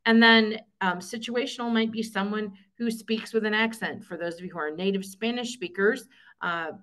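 A woman from Michigan with a Northern US accent, giving a webinar lecture over slides in a clear, explanatory way with frequent ums. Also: medium pitch, normal speed, fairly bright tone. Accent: Northern US, Michigan with some Texas. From Michigan, USA. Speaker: accessibility presenter.

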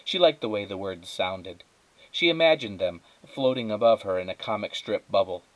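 A man's voice: lowish voice, animated, moderate pace, no echo, poor audio. A man narrating a children's storybook aloud, as a teacher does. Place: indoors at a wooden table.

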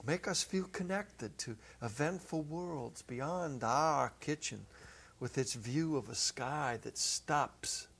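A middle-aged man giving an expressive, deliberate dramatic reading of a short story, with theatrical pauses. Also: a little high, creaky, slightly rough. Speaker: story reader, teacher. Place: small indoor set. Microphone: lapel microphone. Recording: noisy old videotape recording.

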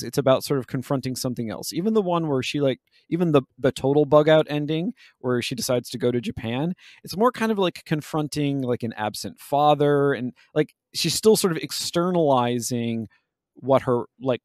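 A man's voice: medium pitch, a little mellow, no room sound, clean studio sound. A man co-hosting a podcast, talking through ideas in a casual but academic, reflective way. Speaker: podcast host. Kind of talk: casual analytical discussion.

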